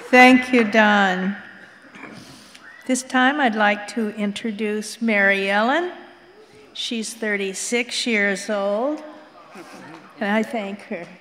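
A woman of middle pitch speaks slowly as she introduces the next speaker. A long hall reverb and faint room noise sit behind her. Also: a bit bright. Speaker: meeting chair introducing speakers.

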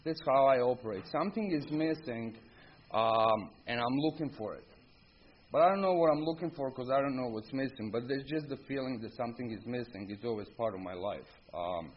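A middle-aged man with a Croatian accent speaks candidly to a recovery meeting at an ordinary male pitch. He tells his own story in short, blunt, informal sentences. The recording is dull and lo-fi, with clear background noise. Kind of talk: personal recovery testimony, informal spoken storytelling. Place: live talk at a recovery meeting.

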